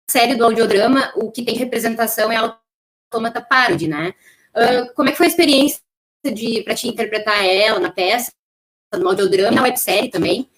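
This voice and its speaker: A woman's voice: a little high, low-quality recording. A woman, asking questions conversationally as a podcast interviewer.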